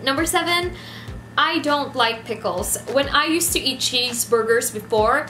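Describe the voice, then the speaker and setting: High-voiced young Filipina vlogger in a roomy space. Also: female voice, a little slow, pushed and bright. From Philippines. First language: Ilonggo. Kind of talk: casual listing of facts to camera. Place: small decorated room indoors. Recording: roomy echo, music behind.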